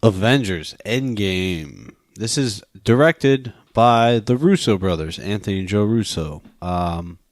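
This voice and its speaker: A man with a fairly low voice hosts a casual film chat show. He teases and swears freely as he talks. The sound is dry, with hardly any room on it. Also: expressive, slow, few pauses, dry recording. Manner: profane, teasing. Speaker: podcast host. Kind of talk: casual movie review chat.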